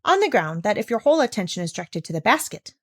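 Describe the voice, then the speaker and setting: A woman's voice: mid-range voice, sing-song. Woman putting on a pedantic, dithering tutor. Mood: pompous, weighing. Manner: pedantic, deliberate. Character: dithering, long-winded male tutor.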